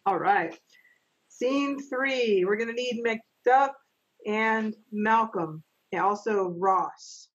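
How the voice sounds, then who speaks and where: A middle-aged woman in a home office, reading stage directions for a video-call play reading and briskly keeping the young readers on track. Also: on the high side. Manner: brisk, practical, coaxing the young readers along. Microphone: laptop mic on a video call. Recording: dull lo-fi video call audio.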